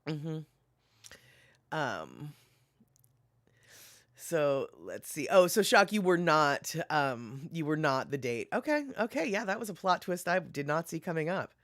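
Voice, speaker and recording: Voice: medium pitch, sing-song, a little slow, pauses often, a bit bright, no echo, studio quality. A livestream host chatting casually and reading viewer comments aloud, laughing often and musing while scrolling. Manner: frequent fillers like "let's see here".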